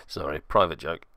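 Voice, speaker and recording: A man's voice: extremely low pitch, sharp tone, dry recording, studio quality. A man hosting and narrating a tabletop game move by move, with theatrical flourishes and wry asides. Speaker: podcast host and player.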